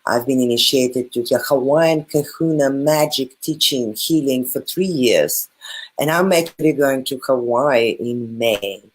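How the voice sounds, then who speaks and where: A woman with a Bulgarian accent and a very low, deep voice speaks earnestly over a video call. She repeats her phrases, circling back with soft fillers as she explains. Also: measured pace, slightly rough, poor audio. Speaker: guest, spiritual teacher. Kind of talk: casual video call chat.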